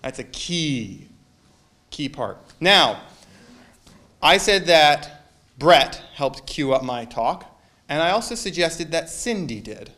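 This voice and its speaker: A man's voice: fairly high pitch, sing-song, takes it slowly, pauses often, sharp tone. A man giving a casual sermon to a congregation, joking and quizzing his listeners. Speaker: minister.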